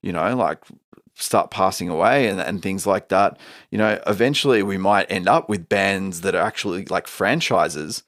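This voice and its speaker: A man with a notably low voice talks easily as a musician guest on a podcast. He wanders through his thoughts with plenty of fillers. The recording is close and dry. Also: normal speed, a bit bright, dry recording. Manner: rambling, many fillers. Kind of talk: casual podcast chat.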